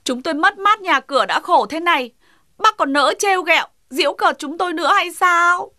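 A woman voicing a fussy, complaining animal neighbour in a cartoon dub, sharp-tongued and insistent. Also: very high voice, expressive, moderate pace, no echo, studio quality, full character voice. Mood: indignant. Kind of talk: Vietnamese children's cartoon dubbing.

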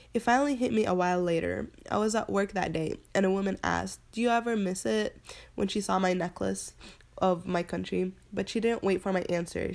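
A young woman from Palestine, a student, narrating her own immigration story in a quiet, reflective way. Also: medium pitch, creaky. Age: young adult. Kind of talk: personal narration.

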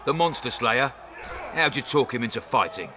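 An actor playing a sceptical, mocking fight-club regular in a video game, sardonic and appraising. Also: male voice, medium pitch, lively intonation, moderate pace, a crowd in the background, noisy background, close to an everyday voice.

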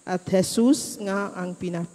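A woman leading rosary prayers and reading the gospel in a soft, measured, reverent way. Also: mid-range voice, fairly even intonation, in a large hall. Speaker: prayer leader and gospel reader. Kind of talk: slow devotional rosary and scripture reading. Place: church.

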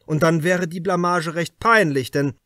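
A man playing a calm, unshakeable police detective. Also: fairly high pitch, no echo, clean studio sound, pitched above the performer's own voice. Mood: firm. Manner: firm, deliberate. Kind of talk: audiobook character dialogue.

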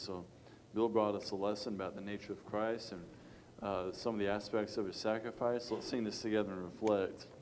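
A man's voice: on the low side, darkish tone, a little hiss or hum. Distant older man introducing a church hymn. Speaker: church song leader. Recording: distant, dull, reverberant church recording.

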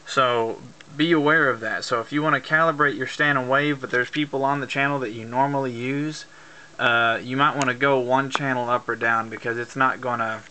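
A man's voice: mid-range voice, sharp tone, low-quality recording. A man giving an informal hands-on tutorial, explaining things plainly step by step. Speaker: amateur instructor demonstrating CB radio use. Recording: faint background noise, clicks, amateur camera.

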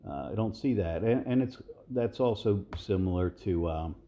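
Man, 60s, low and mellow, loose rambling fitness host voice-over. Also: moderate pace. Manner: rambling, relaxed, many fillers and restarts. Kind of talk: casual voice-over commentary.

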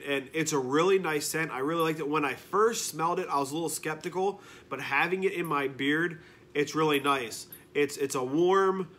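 A man in his thirties speaks from a living room couch, his voice nasal and congested as he gets over a cold. He sits a little high in pitch and talks to the camera in a relaxed review style. He leans on repeated words to show his enthusiasm. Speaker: beard product reviewer. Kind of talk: casual product review.

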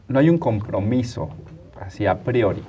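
A man in his forties works as a studio interviewer, speaking conversationally. His voice sits fairly low and is picked up a little away from the mic. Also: animated, moderate pace, warm tone, recorded from a distance, slight background noise. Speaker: interviewer, co-host. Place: TV studio, indoors, round table.

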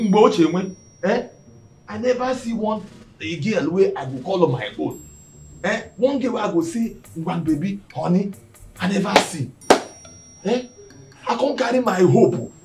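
A hungry, boastful Nigerian house worker in a comedy film. His voice is high and dramatic, circling back over phrases with exclamations. The room echoes and faint music plays behind. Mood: griping, animated. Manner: dramatic, repetitive, full of exclamations. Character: boastful, lovesick, ever-hungry male house worker, excitable and clownish. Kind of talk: comic film acting in Pidgin.

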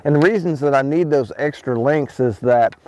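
Middle-aged male angler, outdoors on a lake boat, relaxed instructional talk with frequent fillers. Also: a little high, moderate pace, slight background noise, low-quality recording. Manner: relaxed, explains as he fishes, many ums and restarts. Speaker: fishing pro. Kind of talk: casual how-to fishing talk.

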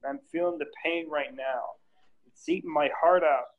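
A man acts out a grieving, overwhelmed sitcom widower. His voice sits slightly high, and the video call makes it sound muffled. It is a table read of a script. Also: steady tone, moderate pace, close to an everyday voice. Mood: sad.

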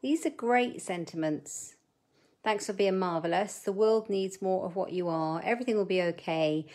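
A woman's voice: mid-range voice, normal speed, a little mellow. A middle-aged woman hosting a live crafting demo from her desk at home, chatting with viewers and muttering asides as she works. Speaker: papercraft host.

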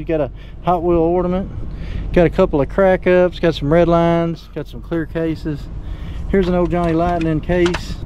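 A man's voice: on the high side, lo-fi sound. A man chatting casually as a vlogger, recorded on an action camera outdoors at a market. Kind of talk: casual walk-around vlog chat. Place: open-sided covered flea market and by an open car trunk. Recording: clear background noise, outdoor market.

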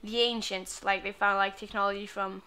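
A woman's voice: mid-range voice, level tone, moderate pace, pushed and bright, smooth. A woman gamer giving casual commentary on a playthrough in a crude, sweary, playful way.

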